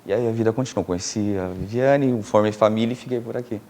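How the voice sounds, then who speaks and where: A middle-aged man from Brazil, a filmmaker and producer telling stories as a TV interview guest, animated and laughing often, with many fillers and restarts. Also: fairly low pitch, quick pace, slight background noise. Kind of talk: casual storytelling in a TV interview.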